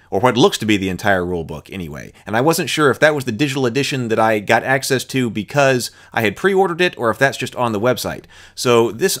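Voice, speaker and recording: Male host, mid pitch, relaxed screen-share rules walkthrough, reads aloud, thinks out loud. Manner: thinking aloud, with small restarts while reading. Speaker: channel host reviewing a game. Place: home room with bookshelf.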